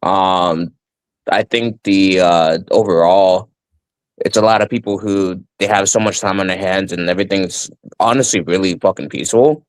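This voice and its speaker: A man's voice: low voice, fairly even intonation, moderate pace, clean tone, dry recording, low-quality recording. A man from Washington, D.C. with a slight Boston accent, calling in to a show and speaking bluntly with fillers and some swearing. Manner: blunt and profane, hesitant with many fillers. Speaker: caller. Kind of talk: casual call-in chat.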